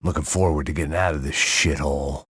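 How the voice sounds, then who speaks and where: An actor playing a weary, hard-drinking middle-aged ex-cop, delivering noir inner monologue with dry sardonic wit. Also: male voice, a bass voice, fairly even intonation, quick pace, a bit bright, breathy edge, dry recording, exaggerated voice.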